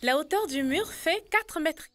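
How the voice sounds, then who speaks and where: A woman's voice: high-pitched, expressive, measured pace, a bit bright. A woman voicing an earnest, clever young girl who explains things in a French children's cartoon. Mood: explaining. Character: earnest, clever young schoolgirl taking charge.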